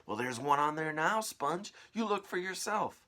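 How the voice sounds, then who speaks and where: A man voicing an excitable, bossy aunt from a children's storybook, exclaiming in short, agitated bursts. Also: on the high side, normal speed, voice pushed up. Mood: bossy, excited.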